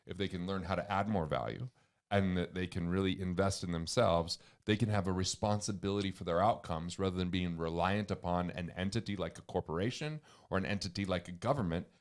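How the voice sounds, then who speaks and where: Guest: booming-voiced man with a very low pitch, earnest and emphatic. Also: brisk. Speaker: guest, financial author and comedian. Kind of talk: conversational interview.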